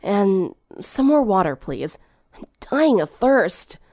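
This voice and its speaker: A woman's voice: medium pitch, very animated, takes it slowly, warm tone, no echo, clean studio sound. A woman voicing a stubborn, sick teenage girl in a story reading, weary and groggy as she brushes off her friends.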